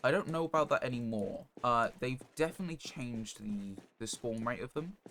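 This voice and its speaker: A man streaming a game live, giving casual commentary in a halting, rambling way as he thinks aloud. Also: medium pitch, lively intonation, fairly bright tone. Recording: noticeable background noise.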